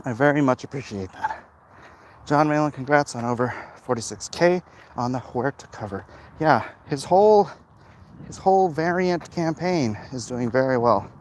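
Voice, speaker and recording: A man's voice: medium pitch, moderate pace. A man livestreaming casually to viewers on his phone while walking outdoors, rambling and emphatic. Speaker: livestream host, comic artist. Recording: noisy outdoor walking recording.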